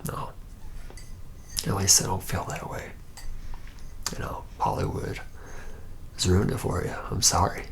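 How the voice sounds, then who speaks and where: A man playing a reluctant, jaded young male vampire in a whispered ASMR roleplay, speaking haltingly with a wry, deadpan manner. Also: sits low. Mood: weary, disillusioned. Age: young adult. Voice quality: breathy whisper with gravelly creak.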